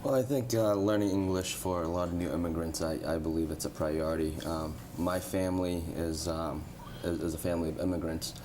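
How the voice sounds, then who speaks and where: Male entrepreneur guest, very deep voice, earnest and halting, clear hiss on the line. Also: steady tone. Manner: earnest, with many repetitions and um fillers. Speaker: restaurant group founder. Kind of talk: interview guest sharing personal experience.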